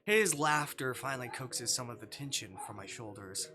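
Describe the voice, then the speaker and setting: A man's voice: medium pitch, normal speed, over music. A man reading a visual novel aloud on a live stream, narrating dramatically and adding casual asides.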